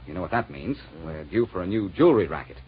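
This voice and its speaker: An actor playing a determined, worried amateur sleuth in a 1940s radio detective drama. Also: male voice, deep voice, big pitch swings, fast talker, a little hiss or hum. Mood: worried, earnest. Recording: muffled vintage radio recording.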